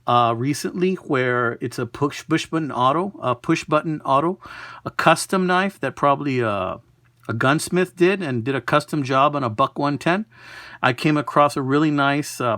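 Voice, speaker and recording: Casting: male hobbyist presenter, slightly high voice, conversational and unhurried, dry close sound. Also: fairly bright tone, no room sound. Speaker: knife collector presenting a find. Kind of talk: casual product showcase.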